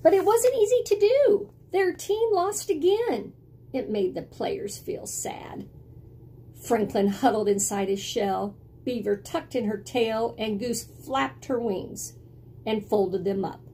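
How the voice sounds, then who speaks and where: A middle-aged woman reading a picture book aloud in an expressive, deliberate storytelling style, indoors at home. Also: fairly high pitch, a little hiss or hum. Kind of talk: expressive children's read-aloud. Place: small indoor room at home with bookshelves.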